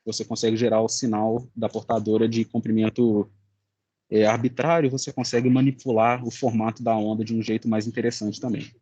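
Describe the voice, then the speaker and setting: A man's voice: on the low side, little pitch movement, brisk, warm tone, no room sound, highs cut off. Young Brazilian man, dry close sound. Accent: Brazilian Portuguese. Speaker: student presenting a final project. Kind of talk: informal student presentation and discussion.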